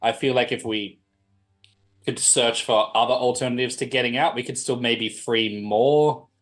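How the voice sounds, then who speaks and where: A man talks through his options as a tabletop game player. He is casual, hesitant and cautious. His voice sits at an average pitch for a man. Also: steady tone, moderate pace, soft background music.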